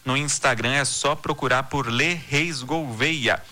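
A man hosting a news podcast from Brazil, reading the day's stories and listener messages in a steady, measured newsreader delivery. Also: mid-range voice.

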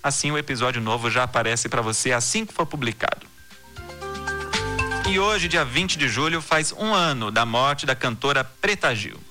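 A man hosting a news podcast from Brazil, reading the day's stories and listener messages in a steady, measured newsreader delivery. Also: medium pitch, over music.

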